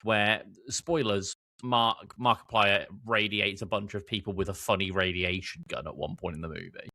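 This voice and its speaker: A man's voice: lowish voice, steady tone, normal speed, sharp tone. A man chatting casually as a podcast panellist, informal and emphatic in how he gives his views. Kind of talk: casual chat.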